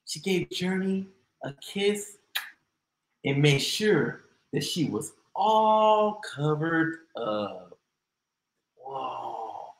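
An American man in his thirties reading aloud to young children as a storyteller, with a theatrical, drawn-out, exclaiming delivery. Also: a little high, big pitch swings. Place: small indoor room. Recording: roomy echo.

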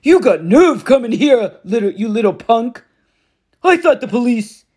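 Brutish bullying stepfather, voiced by a woman narrator: loud, sneering, strained. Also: a little high, big pitch swings, unhurried, husky, pitched above the performer's own voice, heavily acted.

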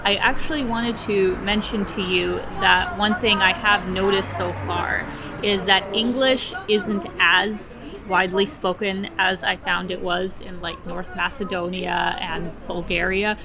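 A woman's voice: mid-range voice, steady tone, a little slow, a crowd in the background, rough recording. Chatty young woman at a busy cafe. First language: Russian. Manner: chatty, candid, laughs often. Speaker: travel vlogger. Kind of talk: casual travel vlog talk. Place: outdoor cafe terrace. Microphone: camera held at arm's length.

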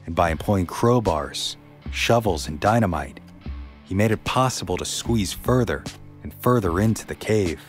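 A man narrating a scripted true story as a podcast host, in a measured, somber way. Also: low voice, animated, soft background music.

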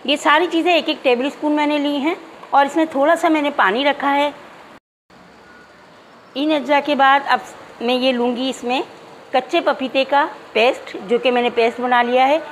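Woman cooking host, bright high voice, steady and methodical, home-kitchen recording. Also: normal speed, a little hiss or hum, low-quality recording. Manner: calm, methodical, addresses viewers directly. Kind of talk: step-by-step cooking instruction. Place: home kitchen, hands only on camera.

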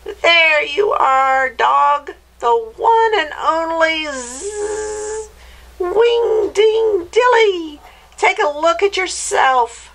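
Woman doing a high, screechy little witch. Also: expressive, drawn-out words, a bit bright, heavily acted. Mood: gleeful, excited. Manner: theatrical, exclamatory, chanting nonsense rhymes. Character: gleeful little old witch casting spells.

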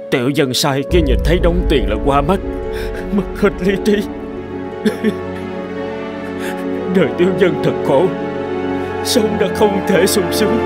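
An actor playing a greedy, foolish poor peasant in a cartoon folk tale, whining and grumbling. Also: male voice, high-pitched, close to an everyday voice. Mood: wailing, self-pitying.

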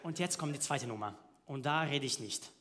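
A man's voice: mid-range voice, normal speed, fairly bright tone. A young man from Milan with an Italian accent, doing stand-up comedy on stage and announcing his bits in short, dry lines. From Italy (Milan). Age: young adult. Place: comedy stage before a live audience.

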